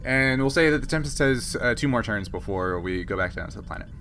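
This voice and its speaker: A man's voice: medium pitch, animated, brisk, a bit bright, slight background noise. A man running a tabletop role-playing game, casually giving rulings and narrating. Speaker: game master. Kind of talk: casual rules talk and narration.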